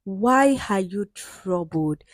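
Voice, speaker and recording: Woman playing a gentle, wise old forest woman. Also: mid-range voice, expressive, slow pace. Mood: gentle concern.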